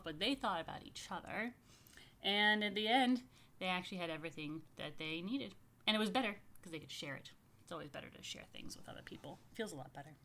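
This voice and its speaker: A woman's voice: mid-range voice, pushed and bright. A woman rabbi hosting a family story time, chatting casually and playfully straight to young families, with informal asides and invitations to join in. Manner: addresses viewers directly with questions and invitations.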